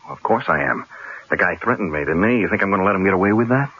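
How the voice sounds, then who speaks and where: An actor playing a wry, stubborn, articulate newspaper columnist in a radio drama. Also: male voice, low-pitched, expressive, quick pace, breathy edge. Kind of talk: 1970s radio drama acting. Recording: muffled old radio drama broadcast.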